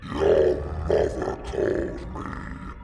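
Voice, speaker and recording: A man voicing a vengeful male skeleton risen from a bog, with a slow, low, hoarse moaning whisper. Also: monotone, mellow, soft background music, heavily acted. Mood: menacing.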